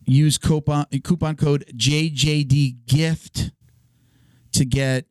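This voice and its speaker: A man hosting a podcast in a casual, halting way, reading sponsor spots with a voice he is losing. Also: fairly high pitch, steady tone, darkish tone, clean tone, dry recording, clean studio sound. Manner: halting, restarts his read. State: hoarse, losing his voice. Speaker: podcast host. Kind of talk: casual sponsor read and banter.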